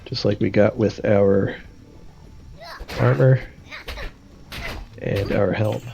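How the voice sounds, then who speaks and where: A man's voice: lowish voice, fairly even intonation, slow pace, creaky. A man with a stuffy, nasal voice from a cold, casually explaining his moves while streaming a game and thinking aloud with many fillers. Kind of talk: casual gameplay commentary.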